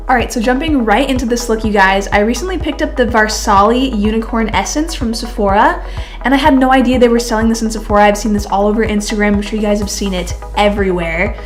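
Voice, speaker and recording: A young woman in her twenties with a congested, nasal voice from a cold, chatting casually through a makeup tutorial. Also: on the high side. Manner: chatty, filler-heavy, addresses viewers as beauties. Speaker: beauty vlogger.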